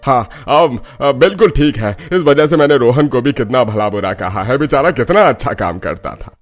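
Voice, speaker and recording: Man as a plain-spoken townsman, medium pitch. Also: animated, moderate pace, lightly acted. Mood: regretful.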